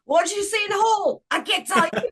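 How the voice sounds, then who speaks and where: A woman hosting a casual interview show, tossing in playful, animated interjections. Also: extremely high pitch, fairly quick. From United States. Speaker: talk show host.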